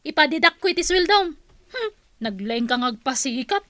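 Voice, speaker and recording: A practical young office co-worker in a radio drama. Her voice is high and sharp, with the nagging edge of someone fed up and ready to scold. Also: expressive, normal speed, no echo. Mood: exasperated, scolding. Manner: nagging, exasperated.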